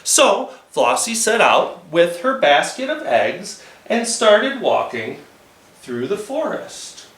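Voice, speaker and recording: A man's voice: high-pitched, big pitch swings, slow pace, a bit bright. A man leading a children's storytime, telling stories and encouraging young listeners as he goes. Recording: roomy, echoey sound.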